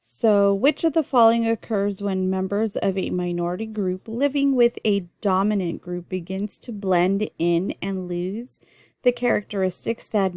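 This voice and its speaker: A woman's voice: mid-range voice, darkish tone, no room sound, clean studio sound. A young adult woman, born in El Salvador and raised in the US, teaching a recorded nursing lecture in an explanatory way with frequent ums and restarts. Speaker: nursing instructor.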